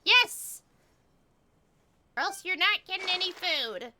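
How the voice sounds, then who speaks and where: Excitable gamer lady streaming, high n really bright, swoops around, unhurried, music faintly behind. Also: slight background noise. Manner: excitable, talks to chat. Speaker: live streamer. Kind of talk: casual gaming livestream chatter. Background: game music.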